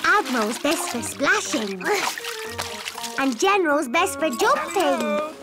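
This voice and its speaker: An actor playing an expressive, bossy little princess of about four in a children's cartoon, with emphatic, childlike delivery. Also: high voice, slow, full character voice. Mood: proud, happy. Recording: music behind the voice.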